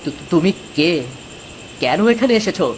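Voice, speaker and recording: A man's voice: a little high, lively intonation, normal speed, slight background noise, natural voice. A man playing a kind, honest village fisherman father in a Bangla cartoon, speaking earnestly. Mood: wondering, uneasy.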